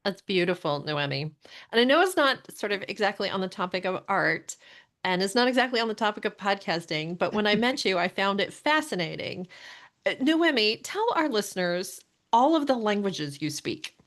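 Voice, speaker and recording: A woman's voice: mid-range voice, expressive, moderate pace, fairly bright tone. Casting: woman podcast co-host, mid-range voice, short affirming remarks. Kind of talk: casual interview talk.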